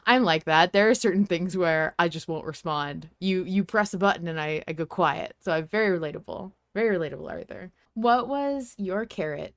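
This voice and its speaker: A woman's voice: fairly low pitch, clear, resonant tone, dry recording, studio quality. A woman hosting a podcast in casual chat, with an enthusiastic, playful manner. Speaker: podcast host.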